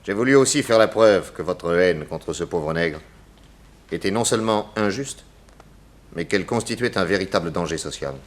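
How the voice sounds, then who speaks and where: Deep-voiced, commanding detective. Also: male voice, expressive. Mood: stern, explaining. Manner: theatrical, deliberate, accusatory, lecturing. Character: shrewd, authoritative detective exposing a murderer. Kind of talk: 1960s French radio drama acting.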